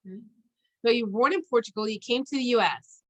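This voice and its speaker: A woman hosting a conversational interview, chatty and halting as she searches for her words. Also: a little high, lo-fi sound. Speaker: interview host. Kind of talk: conversational interview with an informal guest introduction.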